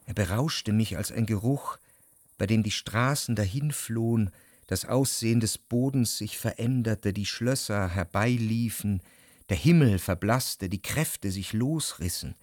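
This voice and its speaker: Dreamy melancholic literary narrator, male, lowish pitch, dry close sound, measured and lyrical, radio play. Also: a little mellow, dry recording. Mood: rapturous. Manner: measured, reflective, lyrical delivery. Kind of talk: literary radio drama narration in first person.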